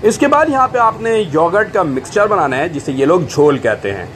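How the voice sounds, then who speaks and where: Higher-pitched man giving recipe instructions, noisy background. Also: very animated, brisk, a bit bright. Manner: mixes English kitchen words into Hindi. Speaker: host of a cooking recipe video. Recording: clear background noise, cooking ambience.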